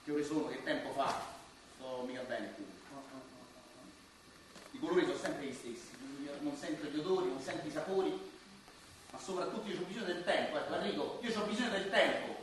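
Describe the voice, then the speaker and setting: A man's voice: a little high, a little fast, slight background noise, natural voice. An actor playing a restless, cranky dead man in a radio drama, speaking colloquially and insistently, repeating himself. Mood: restless, complaining. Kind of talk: theatrical radio drama acting. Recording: very echoey, distant, music underneath.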